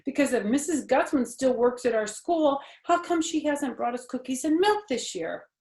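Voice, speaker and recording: A woman's voice: sits high, animated, moderate pace, a little mellow, voice pushed up. A woman voicing a bold, bossy little schoolgirl from a children's book, with exclamatory, emphatic delivery. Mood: amazed, excited. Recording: roomy indoor echo.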